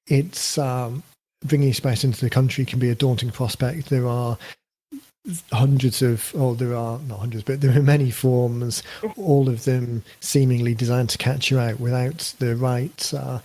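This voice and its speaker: A man hosting a podcast who explains marketing ideas in a relaxed way, thinking aloud with frequent fillers and restarts. His voice is getting a little croaky. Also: mid-range voice, moderate pace. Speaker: podcast host, book marketing coach. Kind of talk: casual podcast conversation.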